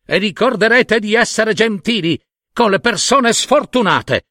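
A man plays an old woman spirit from a storybook, solemn and forgiving. The delivery is slow and deliberate, with swooping intonation that gently admonishes. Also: high-pitched, lively intonation, dry recording, studio quality, voice pushed up, exaggerated voice. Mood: stern.

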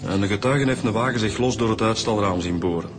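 Man acting a low-voiced, matter-of-fact Flemish detective. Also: fast, over music, close to an everyday voice. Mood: businesslike. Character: matter-of-fact male detective reporting to his boss. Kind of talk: radio drama acting.

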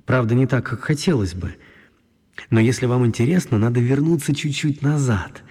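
A man narrating a children's radio story in an expressive, mock-grand dramatic style. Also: mid-range voice, moderate pace, lightly acted. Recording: clear background noise and ambience.

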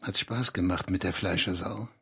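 Low-pitched, brooding man in a radio drama. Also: normal speed, creaky, sharp tone, husky, no echo, studio quality, heavily acted. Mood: jealous, needy. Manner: brooding, intense, provocative. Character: obsessive, bitter, needy East German male writer. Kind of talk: radio drama acting and literary monologue.

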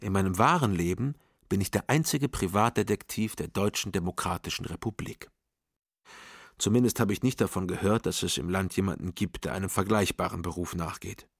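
An actor playing a world-weary private detective who narrates a radio crime drama in a dry, measured, matter-of-fact way. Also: male voice, low-pitched, vocal fry, husky, no room sound, full character voice. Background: music.

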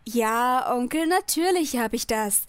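A woman's voice: a little high, animated, measured pace, smooth, no echo. A woman playing a patient, dutiful young niece who soothes her uncle in a parody audio drama. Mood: soothing, patient.

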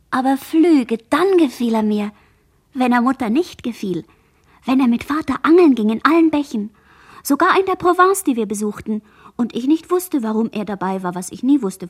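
A woman's voice: a little high, breathy edge. An actress playing a traumatized girl in a radio play, reciting fragmented memories like an incantation. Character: traumatized girl, barely conscious witness, dreamily remembering. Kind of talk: literary radio play monologue.

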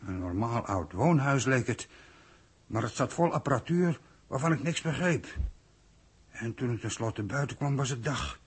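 An actor in a 1960s radio drama playing an earnest, confused and submissive man from an underground city, speaking hesitantly in a husky, gravelly voice. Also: medium pitch, expressive, moderate pace. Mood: hesitant, puzzled. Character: thirsty, confused, submissive male city-dweller from a future underground society. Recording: old 1960s radio recording.

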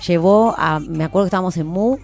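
A woman with an Argentine accent hosts casual radio chat in a lowish voice. She talks in an informal, slangy storytelling way, with some music behind her. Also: quick pace, a little mellow. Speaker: radio host. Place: radio studio.

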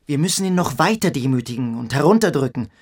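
An actor playing a stern young boarding-school pupil in a radio drama, speaking in a sharp, insistent manner. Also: male voice, medium pitch, lively intonation, quick pace. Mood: cold, domineering.

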